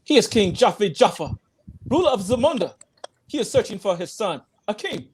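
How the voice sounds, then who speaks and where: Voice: medium pitch, big pitch swings, moderate pace, slight background noise, voice pushed up, close to an everyday voice. A man voicing a proud, haughty herald of an African king in a comedy table read.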